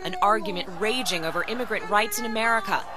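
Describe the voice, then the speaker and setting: A woman's voice: mid-range voice, quick pace. A woman news reporter reading scripted narration for a TV report. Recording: loud crowd noise.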